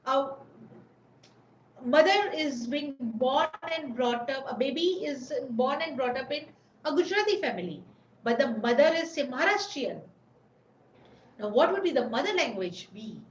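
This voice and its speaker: A high-voiced woman gives an informal lecture, circling back and repeating phrases as she searches for words. The recording is roomy, with a faint hum of ambience underneath. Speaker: webinar presenter.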